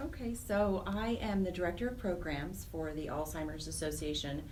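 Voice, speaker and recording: Female interview guest, middle-aged, from Wyoming, lowish voice, conversational, slightly off-mic with some noise. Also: steady tone, normal speed, darkish tone. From Wyoming, United States. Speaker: interview guest, nonprofit program director. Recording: distant mic, noticeable background noise.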